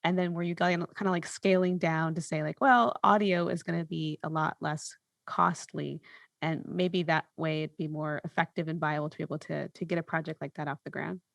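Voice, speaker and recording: A woman's voice: deep voice, steady tone, a little fast, creaky, no room sound. A woman hosting a podcast, chatting casually and conversationally with her guest. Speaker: podcast host.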